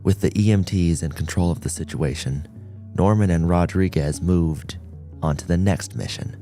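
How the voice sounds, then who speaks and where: A man's voice: deep voice, normal speed, warm tone, soft background music. A man reading a scripted story as an audiobook narrator.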